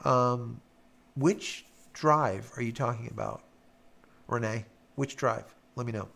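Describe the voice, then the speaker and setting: A man with a raspy voice hosting a live stream, casually chatting and reading out viewer comments, rambling with lots of fillers. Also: mid-range voice, slow pace, a bit bright. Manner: rambling, filler-heavy, hums while scrolling.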